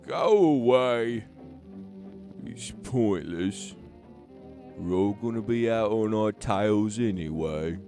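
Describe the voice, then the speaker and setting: A man's voice: fairly low pitch, slow, long pauses, warm tone, soft background music. A man playing a weary, defeated constable in an animal audio drama, talking in a gloomy mumble. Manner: gloomy and mumbling, a little tipsy. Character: weary, defeated older male terrier constable.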